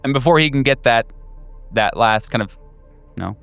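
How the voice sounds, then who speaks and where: A man's voice: medium pitch, lively intonation, normal speed, gravelly, a bit bright, smooth. A man narrating a tabletop game as game master in a loose, conversational, descriptive way. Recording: music under the voice.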